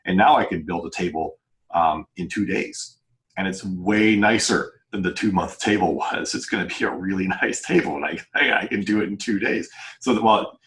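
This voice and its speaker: A man's voice: sits low, normal speed, fairly bright tone, poor audio. A man speaking as a novelist on a podcast panel, chatting casually and explaining earnestly in a rambling way, often doubling back to rephrase.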